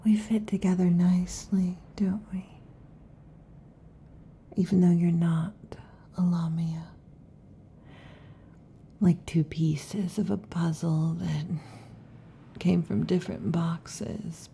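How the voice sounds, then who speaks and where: A woman voicing a restless, affectionate female lamia in an ASMR roleplay, whispering softly. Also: on the low side, flat delivery, takes it slowly, vocal fry, pauses often, a little hiss or hum. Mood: whispery, intimate. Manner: soft intimate whispering. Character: restless affectionate female lamia, snake-tailed monster girl.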